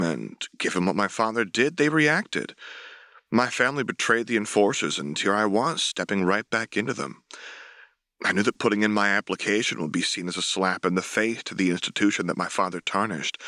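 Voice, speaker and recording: A man voicing an earnest, self-effacing young military officer in a formal, measured way for a dramatic audiobook. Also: fairly low pitch, bright, forceful tone, dry recording. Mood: resigned, determined. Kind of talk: dramatic audiobook dialogue reading.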